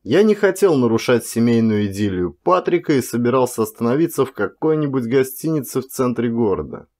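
Male audiobook narrator, medium pitch, very varied intonation, expressive first-person dramatic storytelling. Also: a little fast.

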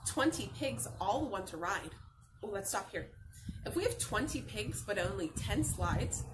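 A woman's voice: mid-range voice, expressive, measured pace. A young adult woman reading a picture book aloud to children as a teacher, expressively, asking questions and answering them. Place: outdoor patio by a garden. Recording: noisy outdoor camera recording.

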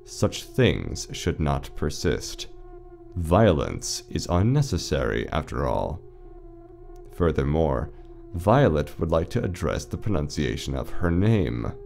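Very deep, slow, eerie announcer played by a man. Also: gravelly, full character voice. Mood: deadpan announcing. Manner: measured, soothing, deadpan. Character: eerily calm, reassuring male announcer of a surreal cult-like broadcast. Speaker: audio drama narrator. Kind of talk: scripted surreal radio-announcer narration in an audio drama.

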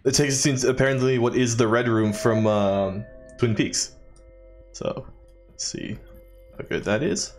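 A man's voice: mid-range voice, fairly even intonation, unhurried, pauses often, a little mellow, faint music behind. A young man giving casual, sarcastic, offhand commentary as he plays a video game. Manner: wry, offhand. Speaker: gaming video host.

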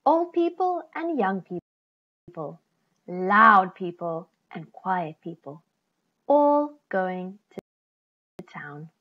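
A middle-aged woman reading a children's picture book aloud, expressively, with deliberate phrasing and stressed key words. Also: medium pitch, very animated. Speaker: children's story reader. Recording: slightly dull, lo-fi computer recording.

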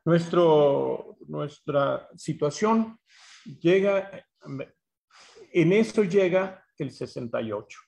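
A man gives a talk recalling his memories, haltingly, searching for words and repeating phrases. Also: fairly high pitch, expressive, creaky, darkish tone. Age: 70s. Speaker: speaker at a history symposium. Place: small bright room indoors, on a video call.

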